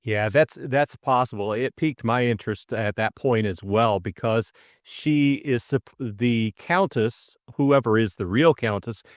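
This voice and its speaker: A man's voice: mid-range voice, a little slow, no room sound, clean studio sound. A middle-aged man reading a classic novel and discussing it as a co-host. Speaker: audiobook reader and co-host. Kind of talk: storybook narration and casual book discussion.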